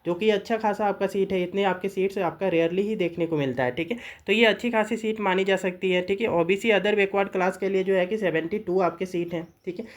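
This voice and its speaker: A woman's voice: medium pitch, a little mellow. A woman with an Indian accent presenting an informal, instructional explainer, often checking understanding with short tag phrases. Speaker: presenter of government job notifications. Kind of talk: informal explainer of a recruitment notice.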